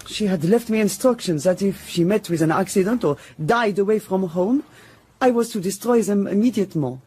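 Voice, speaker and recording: An actress playing a loyal, guarded maid in a radio drama, prim and firm, with a husky, mellow voice. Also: female voice, medium pitch, a little fast, lightly acted. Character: loyal, guarded, matter-of-fact French maid, female.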